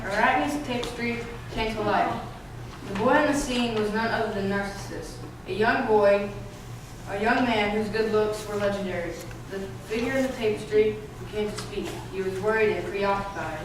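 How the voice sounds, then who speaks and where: A woman's voice: mid-range voice, normal speed. A woman narrating a scripted story for a readers theatre performance. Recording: echoey room, distant mic, noisy.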